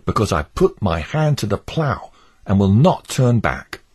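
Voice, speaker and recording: A man voicing an earnest devout male pilgrim who pleads fervently with his neighbours, in a husky voice. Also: lowish voice, big pitch swings, unhurried, darkish tone, voice pushed up, heavily acted. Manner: fervent, imploring.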